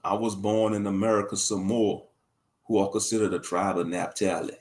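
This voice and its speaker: A man's voice: fairly low pitch, steady tone, normal speed, darkish tone. A man talking casually on a livestream, rambling and preachy in a slangy way, answering the chat and laughing at his own jokes. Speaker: livestream commentator.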